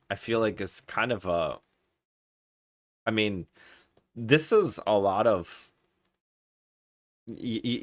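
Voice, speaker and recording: A man from Kazakhstan gives casual gear advice on a live game stream. His voice sits low, and the sound is dry, with very little room in it. He thinks aloud, often repeating a word before carrying on. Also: no echo.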